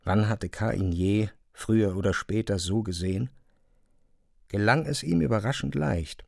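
A man narrating a literary radio play from a script in a measured storytelling manner. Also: lowish voice, vocal fry, darkish tone, dry recording, clean studio sound. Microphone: close studio microphone.

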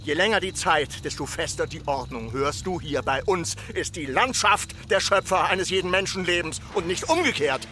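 An actor playing a stern, threatening villager who insistently admonishes and demands order, in a literary radio drama. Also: male voice, fairly high pitch, lively intonation, normal speed, hardly any pauses, bright, forceful tone, slight background noise. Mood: indignant.

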